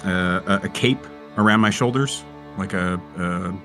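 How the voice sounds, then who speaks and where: A man playing a tabletop game, casually describing his character's actions in a halting, searching way. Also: low voice, fairly bright tone, faint music behind.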